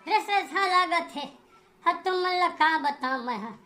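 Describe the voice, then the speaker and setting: एक महिला की आवाज़ में बहुत ऊँचे सुर वाला कराहता बीमार पति. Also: female voice, very high-pitched, animated, sharp tone, faint music behind, exaggerated voice. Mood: groaning, complaining. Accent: Chhattisgarhi. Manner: groaning, drawn-out complaining. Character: whining, sick village husband and father. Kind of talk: comedy cartoon dialogue.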